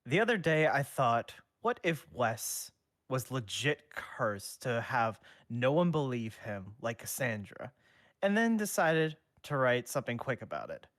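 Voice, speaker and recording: Here's a man on a stream who breaks into big laughs and tosses out casual swears, his pitch leaping up and down. He sits in a middle range, and the sound is close and dry, with no room around it. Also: sing-song, a little slow, a bit bright, no echo. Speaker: co-streamer and reader. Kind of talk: casual stream chat and reading a fanfic aloud.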